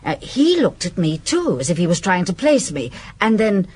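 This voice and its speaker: Female, a sardonic ex-servant in radio crime drama; husky, lowish, very lively inflection. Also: normal speed. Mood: recalling, guarded. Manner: blunt, dry, guarded. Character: guarded, blunt, sardonic former servant woman, a witness. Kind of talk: radio detective drama acting.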